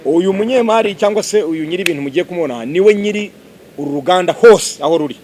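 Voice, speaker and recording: A man presenting on site, talking in a lively way and quizzing the people around him with questions. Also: high voice, quick pace, slight background noise. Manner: animated, quizzing listeners. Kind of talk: lively on-site promo talk.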